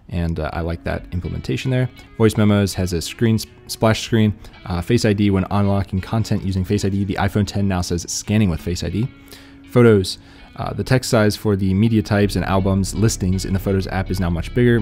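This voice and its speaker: A man's voice: low-pitched, a little fast, a little mellow, faint music behind. A man giving casual, laid-back tech news commentary in an informal, slangy way.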